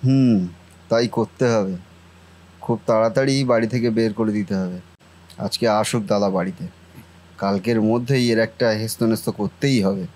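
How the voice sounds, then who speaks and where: A man's voice: fairly low pitch, normal speed, a little mellow, lightly acted, poor audio. A man voicing a devoted, indignant brother in a cartoon family melodrama, speaking earnestly and declaiming. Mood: resolute.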